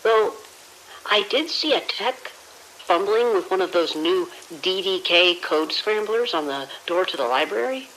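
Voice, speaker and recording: Actor as hesitant junior security guard, faint hiss. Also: male voice, sits high, animated, unhurried, bright, forceful tone, exaggerated voice. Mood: casual, hesitant. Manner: hesitant, deferential, casual. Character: deferential, awkward junior male security guard. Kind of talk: audio drama radio report. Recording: hissy, crackly radio-like noise.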